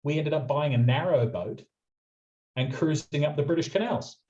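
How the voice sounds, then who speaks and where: Young aussie guy telling a story, warm mellow voice, kinda muffled lo-fi audio. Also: on the high side. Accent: Australian. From Australia. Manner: quick anecdotal storyteller, chatty. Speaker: radio presenter, guest. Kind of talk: casual storytelling interview.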